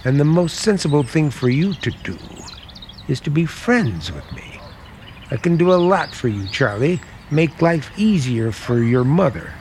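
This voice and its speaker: An actor playing a charming middle-aged American uncle with quiet menace under a genial, deliberate manner, in vintage radio drama style. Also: male voice, a little high, sing-song, slight background noise. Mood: coaxing, menacing.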